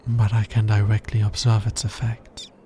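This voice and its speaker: A man playing a tender, earnest alien scientist in an intimate ASMR romance roleplay, soft-spoken and measured. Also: on the low side, monotone, a little hiss or hum, natural voice. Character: smitten male alien scientist, earnest, tender, fascinated by humans.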